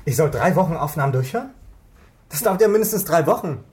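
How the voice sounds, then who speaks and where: Actor as a young rookie cop, noisy backdrop. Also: male voice, a little high, animated, fast talker, darkish tone, lightly acted. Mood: defiant. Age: young adult. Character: eager, frustrated young rookie policeman. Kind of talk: naturalistic radio crime drama acting. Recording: clearly noisy ambience behind voice.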